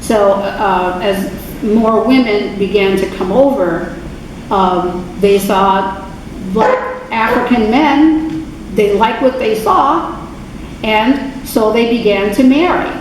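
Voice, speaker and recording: A woman's voice: mid-range voice, distant mic. Woman lecturer, informal talk, fillers and restarts, very echoey, noisy room. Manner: unhurried, many fillers, restarts and self-corrections. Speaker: guest speaker at adult faith class. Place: church meeting room.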